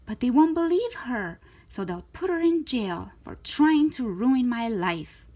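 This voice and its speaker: A woman reading a children's story aloud in an expressive, theatrical way, with emphatic stretched words. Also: on the high side, unhurried. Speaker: storytime reader of children's books.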